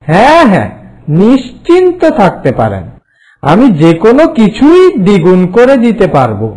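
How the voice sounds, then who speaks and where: A man voicing a sly, very high-pitched village trickster. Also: huge pitch swings, moderate pace, darkish tone, low-quality recording. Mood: coaxing. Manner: coaxing, smooth-talking. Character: sly, polite male trickster villager helping the poor. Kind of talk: cartoon folk tale voice acting.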